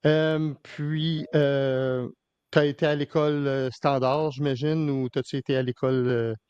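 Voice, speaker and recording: A man's voice: medium pitch, level tone, a little slow, darkish tone, clear, resonant tone, no room sound, highs cut off. A man hosting a podcast interview in casual Québécois French, hesitant, with many fillers and restarts. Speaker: podcast host.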